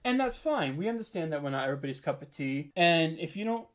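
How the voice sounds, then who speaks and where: A man's voice: a little high, big pitch swings, fast. Casual, candid man being interviewed. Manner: informal and candid, with frequent "like" and "you know". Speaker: interview guest, horror audio drama writer.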